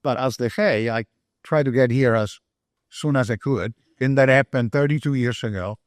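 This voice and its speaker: A middle-aged man with an Italian accent, speaking on a panel into a handheld mic. His voice sits at an ordinary pitch and sounds dry and close. He is earnest and stops often with fillers as he looks for his words. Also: normal speed, no echo. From Italy. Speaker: panelist, brain health researcher. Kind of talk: panel discussion remarks.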